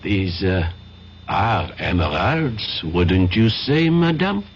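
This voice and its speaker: Slow fussy french inspector, lively voice, old lo-fi radio sound. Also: male voice, sits low, exaggerated voice. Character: French police inspector, polite and methodical. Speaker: police inspector Boivin. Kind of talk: 1970s radio drama acting. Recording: dull lo-fi old radio broadcast with faint hiss.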